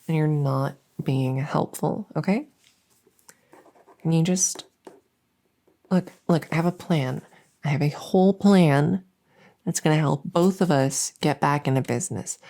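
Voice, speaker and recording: A woman plays a female monster who lives under the bed, harmless, needy and exasperated. Her voice is low, soft and mellow, often dropping to a whisper. She rambles slowly and stammers as she pleads with the listener, in a silly ASMR roleplay. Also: vocal fry.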